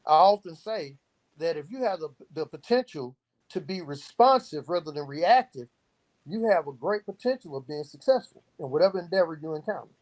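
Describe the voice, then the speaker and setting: A man's voice: fairly high pitch, expressive, brisk, darkish tone, poor audio. A man speaking as an interview guest, explaining earnestly and posing rhetorical questions that he then answers himself. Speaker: founder of a youth outreach organization, podcast guest.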